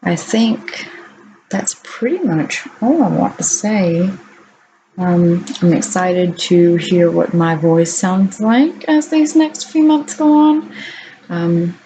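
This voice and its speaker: A woman with a naturally deep voice talking candidly at home to her camera in a casual video diary, unhurried and full of ums. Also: dark tone, slight background noise, poor audio. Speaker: vlogger.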